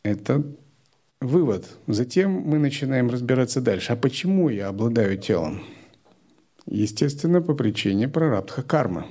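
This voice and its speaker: Gravelly-voiced male spiritual teacher. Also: mid-range voice. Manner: calm, methodical explaining. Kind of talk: spiritual lecture.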